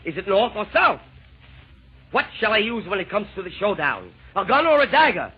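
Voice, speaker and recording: An actor playing a fussy, reluctant villain emperor in an old radio comedy, speaking in theatrical rhyme. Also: male voice, high voice, very animated, normal speed, breathy edge, heavily acted. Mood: fussy, flustered. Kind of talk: 1940s radio comedy acting. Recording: muffled old-time radio recording.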